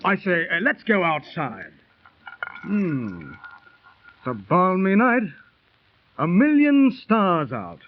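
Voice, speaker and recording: An actor playing a chatty, superstitious English gambler with an upper-class English accent, in a 1930s radio drama. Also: male voice, high voice, wildly swooping pitch, takes it slowly, pauses often, slight background noise, full character voice. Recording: tinny 1930s radio transcription.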